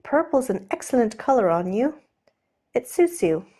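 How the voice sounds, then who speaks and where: A fussily polite gentleman sailor , acted out by a woman narrator, chattering in a lifted, nervous storybook voice. He is hesitant and flustered, babbling on with careful manners. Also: on the high side, very animated, normal speed, husky, voice pushed up. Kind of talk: audiobook character voice.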